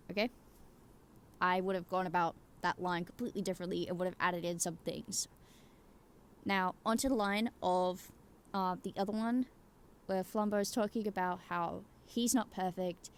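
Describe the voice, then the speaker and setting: A woman's voice: mid-range voice, a little hiss or hum. A woman giving casual, rambling opinion commentary in an emphatic way, swearing casually and checking in with the listener. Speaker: YouTuber giving game opinions.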